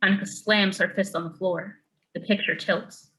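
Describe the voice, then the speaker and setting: A woman's voice: mid-range voice, fairly even intonation, normal speed, pushed and bright, soft background music, poor audio. A woman narrating a screenplay, reading out scene headings and stage directions as scripted narration.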